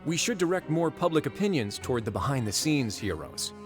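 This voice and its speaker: A man playing a composed, wry fortress chief, speaking in a measured, courteous and authoritative way in an anime-style video game. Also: fairly high pitch, very animated, faint music behind.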